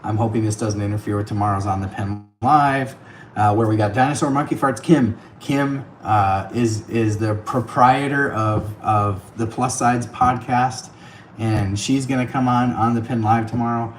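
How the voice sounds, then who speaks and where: A man's voice: lowish voice, normal speed, reverberant, faint music behind. A man hosting a casual livestream, chatty and thanking viewers by name, laughing often, his voice a bit worn. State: voice getting worn. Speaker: livestream host. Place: on the road, streaming from an iPad. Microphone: tablet mic.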